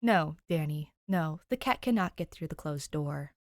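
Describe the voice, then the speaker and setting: A woman's voice: low-pitched, expressive, normal speed, a little mellow, no echo, studio quality. A woman voicing an easygoing, amused young man in an audiobook, playful and a little patronizing. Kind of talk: audiobook character voice, fan fiction reading.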